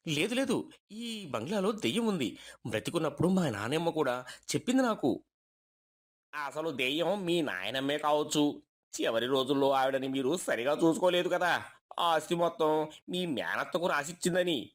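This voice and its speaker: A man's voice: a little high, expressive, normal speed, close to an everyday voice. A man voicing sceptical, bickering village men who gossip and taunt in a story. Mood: astonished, bickering.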